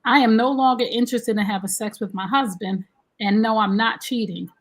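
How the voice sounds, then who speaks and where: A woman, hosting a live-streamed relationship talk show in a chatty, animated way. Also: a little high, fairly quick. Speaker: host.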